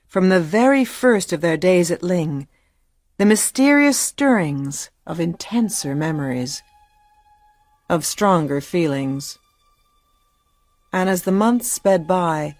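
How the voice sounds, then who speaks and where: A wry literary lady narrating a radio play. Her voice is lowish and expressive, measured in delivery and recorded close and dry. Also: dry recording. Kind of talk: dramatic scripted narration for radio.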